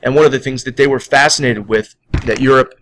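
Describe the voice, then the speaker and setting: A man's voice: mid-range voice, fast talker, a bit bright, poor audio. An American man teaching history in an informal, conversational lecture style, explaining step by step and checking in as he goes. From United States. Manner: conversational, explanatory, often tagging points with "okay" and "again".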